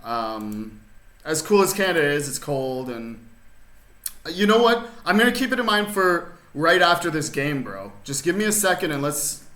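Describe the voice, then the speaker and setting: A man's voice: fairly high pitch, sing-song, moderate pace, pushed and bright. A man chatting casually with viewers while streaming a chess game from his rural desert home, rambling and laid-back, full of fillers and restarts. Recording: roomy echo.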